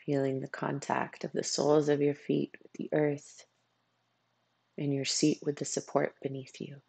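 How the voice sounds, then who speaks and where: A meditation coach guiding a practice in a soothing way, with unhurried phrases, long pauses and a husky, gravelly voice. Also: mid-range voice, monotone, darkish tone, no room sound. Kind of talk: guided meditation.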